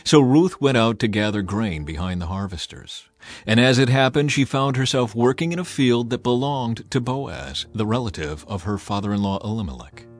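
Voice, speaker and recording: A man's voice: medium pitch, expressive, normal speed, soft background music. A man giving a scripted, dramatic narration of a scripture audiobook.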